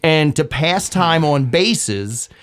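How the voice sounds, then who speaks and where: A man's voice: on the high side, slow. A man, a comedian guest, telling stories casually and acting out what others said. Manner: animated, acts out quotes. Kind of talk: casual storytelling.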